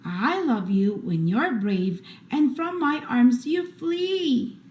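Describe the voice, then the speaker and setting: A woman hosting a read-aloud for children, reading picture books in an expressive, deliberate bedtime storytelling style. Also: high voice. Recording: roomy echo, faint background noise.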